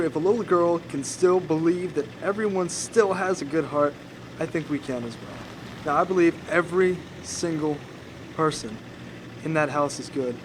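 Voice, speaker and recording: A man plays a Christ-like young son in a film drama. He talks patiently and earnestly in a slightly raised voice. Clear noise runs behind him, as on an outdoor shoot. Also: on the high side, natural voice. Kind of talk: film dialogue.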